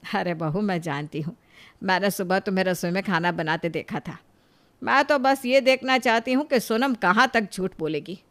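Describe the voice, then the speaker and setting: A woman's voice: medium pitch, moderate pace, fairly bright tone, voice pushed down, lightly acted. A woman voicing a shrewd older mother-in-law, a family matriarch in a moral cartoon, coaxing yet authoritative. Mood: knowing. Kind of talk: Hindi moral cartoon story acting.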